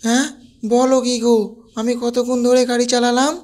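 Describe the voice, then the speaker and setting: A woman's voice: fairly high pitch, monotone, a little fast, a little mellow, close to an everyday voice. A woman voicing a gullible, hard-drinking husband in a cartoon comedy. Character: hapless husband, tipsy and gullible. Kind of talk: cartoon voice acting.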